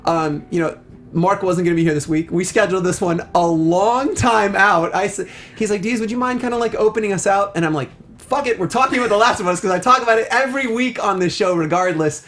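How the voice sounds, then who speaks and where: A high-voiced American man co-hosts a casual talk show. He speaks effusively and enthusiastically, in long, winding sentences that he often breaks off and restarts. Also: fast, fairly bright tone, slight background noise. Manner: rambling, self-interrupting, effusive. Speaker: podcast co-host.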